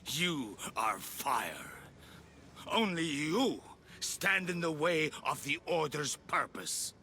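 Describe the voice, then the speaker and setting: An actor playing an aging, zealous Persian leader of a secret order in a video game, speaking in a grandiose, deliberate manner. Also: male voice, on the high side, big pitch swings, creaky, pushed and bright, slight background noise, exaggerated voice. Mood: impassioned. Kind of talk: video game cutscene acting.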